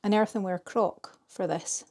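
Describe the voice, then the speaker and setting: A woman in her thirties from Scotland, casually explaining a recipe in her home kitchen with a chatty, relaxed manner and some fillers. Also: medium pitch, a little slow. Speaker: vlogger. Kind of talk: casual narration while cooking.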